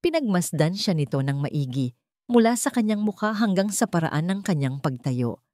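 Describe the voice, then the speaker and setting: A woman's voice: on the low side, sing-song, normal speed, warm tone, no room sound, studio quality. A woman narrating a scripted dramatic story as an audiobook narrator.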